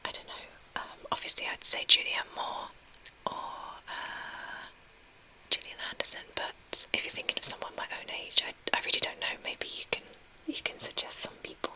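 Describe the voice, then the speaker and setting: A young woman whispering softly as she reads and answers a live chat, a little tearful. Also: normal speed, poor audio. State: tearful, recently crying. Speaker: ASMR streamer. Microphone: webcam mic.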